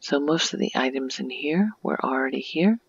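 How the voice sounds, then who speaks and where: A woman softly narrating an ASMR video game tour in a breathy, near-whispered voice, explaining gently and without hurry. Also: rumbling low, lively intonation, normal speed, lo-fi sound.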